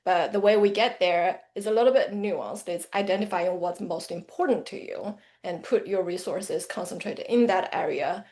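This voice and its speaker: Earnest woman with a Chinese accent. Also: fairly low pitch. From central China. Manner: earnest, reflective. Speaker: financial planner, guest. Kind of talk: interview answers.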